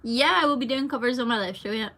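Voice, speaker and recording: Bright chatty young woman. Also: fairly high pitch, fast. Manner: chatty, rambling, lots of fillers, laughs often. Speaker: singer. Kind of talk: casual livestream Q&A, reading viewer comments.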